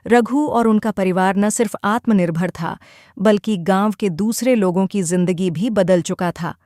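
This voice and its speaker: Woman, measured storytelling narration, dry studio voice. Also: mid-range voice, mellow, no echo.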